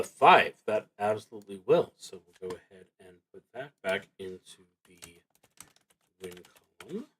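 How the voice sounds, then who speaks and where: A man streaming a solo tabletop roleplaying game, narrating his play and thinking aloud with hesitant pauses. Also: fairly low pitch, breathy edge, poor audio.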